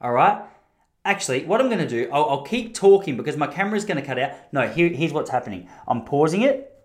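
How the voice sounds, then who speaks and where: A roomy, reverberant recording of an Australian man with a voice pitched a little high. He hosts like a storyteller doing a comic monologue. His delivery rambles, often breaking off and restarting as he goes. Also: big pitch swings, fast talker. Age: adult, married. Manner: rambling, self-interrupting, acts out dialogue. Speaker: podcast host. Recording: roomy, echoing room sound.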